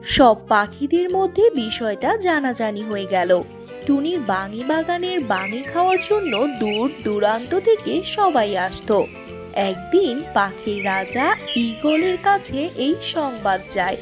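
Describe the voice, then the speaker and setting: A woman tells a children's story in a high voice with lively pitch movement. Her pace is quick, and soft music plays behind her. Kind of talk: scripted children's story narration.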